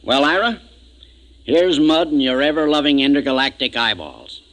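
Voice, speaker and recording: A jovial, boozy communications man on a spaceship, speaks in a forceful, slightly high voice. He is folksy and rambling, a loyal old crewman out of 1950s science fiction radio. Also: animated, normal speed, slight background noise, rough recording. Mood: tipsy, chummy. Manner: folksy, rambling, bragging about drink. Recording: 1950s radio drama recording.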